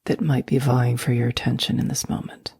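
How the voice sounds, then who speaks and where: A woman guiding a sleep meditation in a soft, breathy near-whisper, speaking slowly and gently with long, soothing pauses. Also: very deep voice, level tone, no echo, clean studio sound. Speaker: meditation guide.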